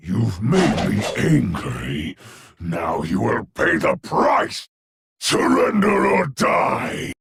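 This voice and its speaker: A man voicing a furious, bloodthirsty axe warrior in a video game, rough and aggressive. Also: lowish voice, huge pitch swings, very slow, raised voice, background noise, exaggerated voice. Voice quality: raspy, rough. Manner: aggressive battle roaring. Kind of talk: video game hero voice lines.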